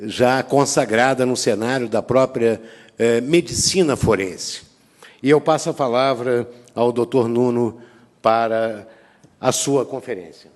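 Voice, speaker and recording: A man introduces a speaker with ceremonious formality, pausing often between words. His mid-pitched voice rings with the long echo of a big auditorium. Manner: ceremonious, hesitant, with pauses. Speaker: session chair. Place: conference auditorium. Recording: reverberant lecture hall.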